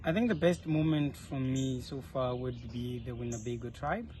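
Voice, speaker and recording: A man's voice: mid-range voice, normal speed. A young man speaking earnestly and articulately, giving reflective answers in an informal interview. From South Africa. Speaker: interviewee, leadership fellow. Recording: faint background noise, ambient.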